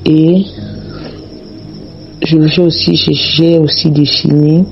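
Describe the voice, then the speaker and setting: A man with a cold preaching slowly and repetitively, praying and teaching a Bible passage. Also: fairly high pitch, level tone, creaky, lo-fi sound, faint music behind. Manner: slow, repetitive, prayerful. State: has a cold, slightly hoarse.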